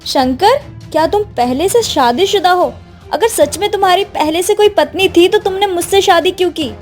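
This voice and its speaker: A woman acts a spoiled young city wife in a cartoon moral story. Her voice is high and insistent, with haughty phrasing over faint music. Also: moderate pace. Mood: demanding.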